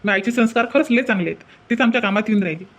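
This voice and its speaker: A man's voice: sits high, racing, a bit bright. A man voicing an honest, humble young working man in a cartoon, speaking rustic Vidarbha Marathi in a plain-spoken way. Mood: modest, polite. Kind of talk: comedy cartoon dialogue.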